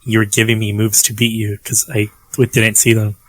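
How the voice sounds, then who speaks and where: A man's voice: fairly low pitch, monotone, moderate pace, fairly bright tone, dry recording, low-quality recording. A young man co-hosting a podcast over a remote call, rambling casually, packing in "like" fillers and swearing offhand. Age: young adult. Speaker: podcast co-host. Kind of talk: casual chat.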